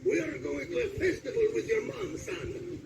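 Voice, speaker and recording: A man's voice: very high voice, animated, moderate pace, creaky, recorded from a distance, background noise, pitched above the performer's own voice, full character voice. A man voicing Zeus as a boisterous, comical, commanding father god for a game. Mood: jovial warning.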